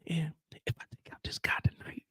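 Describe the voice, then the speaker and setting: A man's voice: very high-pitched, expressive, vocal fry, breathy edge, no echo, clean studio sound, pitched above the performer's own voice, exaggerated voice. A man voicing a nervous jailed man who whispers frantically into a smuggled phone. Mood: hushed, panicky. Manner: hushed, rushed whisper.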